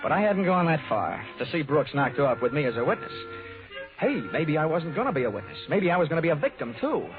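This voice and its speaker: An actor playing a wisecracking, tough American insurance investigator in a 1940s radio detective drama, speaking brisk and wry. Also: male voice, on the high side, very animated. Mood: tense narration. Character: wisecracking hard-boiled insurance investigator man. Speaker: lead actor and narrator. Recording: tinny 1940s radio recording, music.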